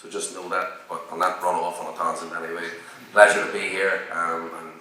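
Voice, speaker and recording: A man's voice: low voice, level tone, brisk, sharp tone, slight background noise. A young man, a rapper on a panel, speaking in a heartfelt, motivational, slightly rambling way. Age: young adult. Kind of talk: casual panel talk. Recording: echoey hall, mic far off.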